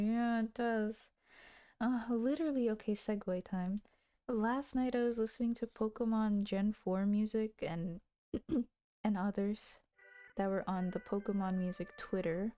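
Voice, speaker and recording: A young woman with a slightly husky voice narrating a story and breaking off into giggly chatter. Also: medium pitch, warm tone, no room sound. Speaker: streamer reading a visual novel aloud. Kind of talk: scripted narration with casual asides.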